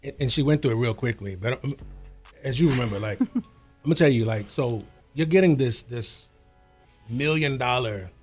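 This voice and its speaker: An American man with a somewhat nasal voice, a guest on a talk show, relaxed and slangy, often calling people "man". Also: medium pitch, normal speed, faint music behind. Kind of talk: casual talk show chat.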